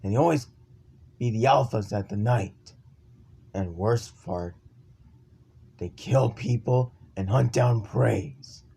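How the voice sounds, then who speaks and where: A man improvising an amateur campfire horror story in a halting, ominous manner. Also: fairly low pitch, vocal fry, warm tone, slightly rough. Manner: halting, ominous, stumbling over phrases.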